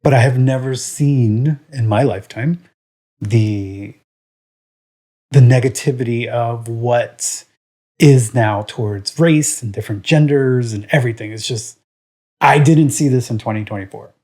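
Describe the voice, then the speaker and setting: A man's voice: medium pitch, unhurried, a little mellow. A man from Puerto Rico co-hosting a podcast in casual chat, rambling with lots of "um" and "like" and speaking his mind frankly. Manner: rambling, full of "um" and "like"; frank and opinionated. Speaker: podcast co-host.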